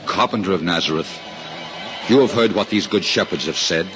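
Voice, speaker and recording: An actor in a 1950s radio drama playing a grave, kindly older uncle, earnest and theatrical. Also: male voice, deep voice, brisk, natural voice. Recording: muffled, noisy old radio recording.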